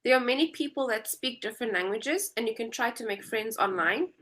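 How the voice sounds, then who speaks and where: A woman's voice: fairly high pitch, lively intonation, fairly quick, fairly bright tone. A woman in her twenties with a South African accent, chatting as an English tutor on a video call and explaining things patiently. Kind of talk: casual video-call conversation.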